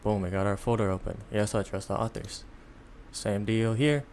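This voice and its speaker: Low-voiced man, casual tutorial delivery. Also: fairly even intonation, a little mellow, slight background noise. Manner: informal, halting step-by-step delivery. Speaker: tutorial presenter. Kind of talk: casual unscripted software tutorial.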